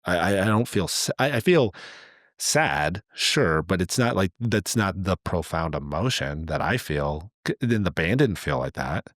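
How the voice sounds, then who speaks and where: A man's voice: low voice, lively intonation, measured pace, fairly bright tone, no room sound, studio quality. A man co-hosting a podcast in casual, reflective chat, earnest and often repeating his words as he goes. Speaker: podcast co-host.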